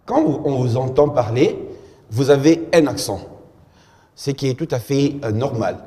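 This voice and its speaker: A man hosting a studio interview, measured and full of hesitations. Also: mid-range voice, lively intonation, dark tone, husky, big-room sound. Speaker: TV talk show host.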